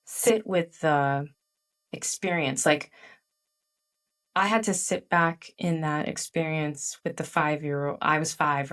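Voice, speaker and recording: A middle-aged woman, a guest author whose first language is Chinese, telling personal stories in an animated, candid way, full of "like" fillers. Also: low voice, far from the mic. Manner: animated storytelling with frequent "like" fillers. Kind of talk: candid interview conversation.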